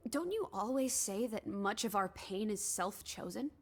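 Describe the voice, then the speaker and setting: Young female game companion: mid-pitched, expressive, teasing and empathetic, always probing. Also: normal speed, slightly rough. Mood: curious, pensive. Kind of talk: video game voice acting.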